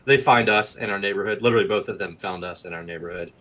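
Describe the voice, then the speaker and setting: A man's voice: fairly low pitch, fast talker, bright, forceful tone. A man talking casually as an interview guest, in an easygoing way with conversational asides. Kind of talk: casual chat.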